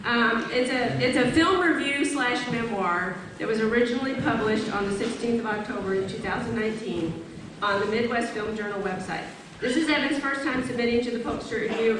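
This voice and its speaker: A woman's voice: a little high, a little fast, background noise. A middle-aged woman giving a chatty, upbeat, informal introduction as host of a live event. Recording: echoey room, distant mic, audience murmur.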